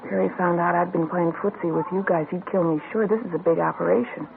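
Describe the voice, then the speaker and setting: A woman's voice: on the low side, fairly even intonation, quick pace, gravelly, background noise. An actress playing a guarded female informant in an old radio drama, blunt and clipped. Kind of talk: 1950s radio drama acting. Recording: tinny lo-fi old radio broadcast.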